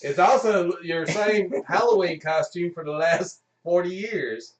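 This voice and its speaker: A man playing a loud, excitable, teasing buddy on the way to a party in an improvised radio-style comedy. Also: high-pitched, measured pace, distant mic, voice pushed up. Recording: roomy echo, off the mic.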